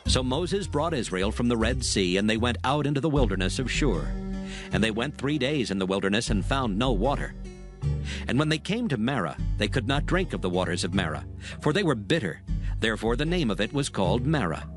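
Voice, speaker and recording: Male narrator, measured scripture reading, lively intonation, music underneath. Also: medium pitch. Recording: music bed behind.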